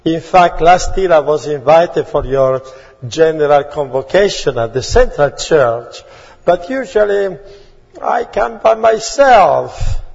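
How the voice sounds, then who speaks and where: A man's voice: fairly high pitch, lively intonation, slow pace, gravelly, darkish tone, slightly rough. A man from Italy with an Italian accent, greeting a congregation as a guest preacher, with playful asides. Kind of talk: sermon greeting. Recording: dull sound with long hall reverb.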